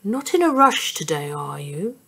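A woman's voice: on the low side, lively intonation, measured pace, exaggerated voice. Woman playing a mysterious station ticket man. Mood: hushed. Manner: precise, then hushed and whispering. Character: proud, mysterious old-fashioned male ticket man.

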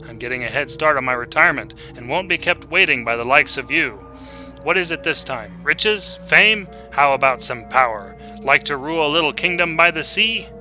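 A man voicing a smug, impatient little male troll in a storybook reading, brisk, flippant and wisecracking. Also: a little high, expressive, pushed and bright, faint music behind, low-quality recording. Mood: impatient, mocking.

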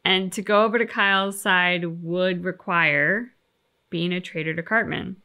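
A woman's voice: fairly low pitch, a little slow, sharp tone. A woman in her thirties chatting casually as a reaction video host. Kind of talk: casual chat. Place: living room couch, indoors.

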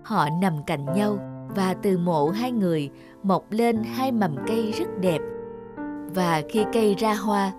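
Calm woman narrating a folk tale. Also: medium pitch. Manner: calm, measured storytelling. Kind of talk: storybook narration. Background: music.

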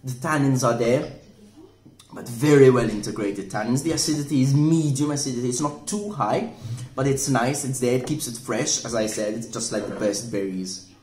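A man's voice: medium pitch, moderate pace. Guy in his 40s chatting through a wine tasting, keeps repeating words, roomy echo, bit of background hiss. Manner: relaxed, thoughtful, with many repeated words and restarts. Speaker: wine shop manager reviewing wine. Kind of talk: casual wine tasting review. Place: indoor room at a table. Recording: echoey room with faint noise.

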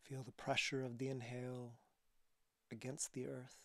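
A man leading a guided relaxation as a yoga teacher, speaking calmly and softly with breathy phrases and long pauses. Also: medium pitch, no room sound. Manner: calm, soothing instruction.

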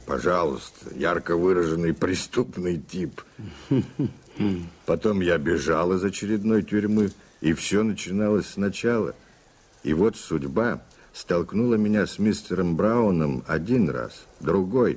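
An actor playing a sardonic, impatient reformed master criminal in a radio play, speaking with wry mockery in a husky voice. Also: male voice, low voice, a little mellow. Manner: wry, mocking, deliberate. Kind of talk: 1980s radio play acting.

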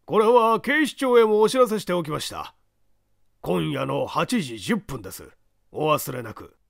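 A man voicing a sly, very polite old man with a husky voice in a storybook reading. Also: a little high, big pitch swings, no echo, studio quality, exaggerated voice. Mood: calmly menacing. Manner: exaggeratedly polite, unhurried, teasing. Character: hoarse old man phone caller, polite, sly thief.

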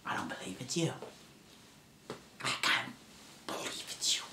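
A man playing a haunted lone survivor, hushed and roomy. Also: a little slow, background noise, lightly acted. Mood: disbelieving. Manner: hushed, intense, lapsing into whispers. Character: haunted lone male survivor, suspicious and menacing. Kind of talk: amateur film scene rehearsal.

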